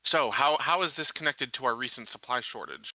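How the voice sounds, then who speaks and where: A man's voice: medium pitch, fairly quick, pushed and bright, no room sound, rough recording. A man playing a skeptical colony security officer in a tabletop game, asking blunt questions in a plain, hesitant way. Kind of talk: improvised tabletop roleplay dialogue.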